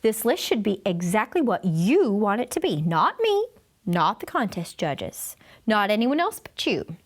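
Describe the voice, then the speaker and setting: Storybook role: male first-grade teacher, read by a woman, patient and bemused, halting, gently questioning, unhurried and expressive. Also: mid-range voice. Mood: calming, accepting.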